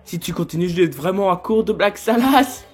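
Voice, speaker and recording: Woman voicing a low-pitched, cocky, crude demon flirt. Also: expressive, fairly quick, husky. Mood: teasing. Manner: sarcastic, teasing, vulgar slang. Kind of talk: amateur cartoon fandub acting in French. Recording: music behind the voice.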